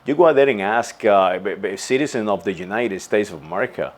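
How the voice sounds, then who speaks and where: A man's voice: on the low side, lively intonation. A man delivering an earnest, insistent monologue as a channel host, often stumbling and repeating words before going on. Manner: halting, with frequent restarts and repeated words. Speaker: channel host giving a commentary. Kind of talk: unscripted spoken monologue.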